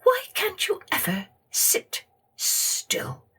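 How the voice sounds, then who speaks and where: A woman's voice: extremely high pitch, huge pitch swings, drawn-out words, sharp tone, voice pushed up, full character voice. A woman voicing a weary, irritable but determined female rain spirit with an exasperated, firm manner in a children's storybook. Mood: irritated.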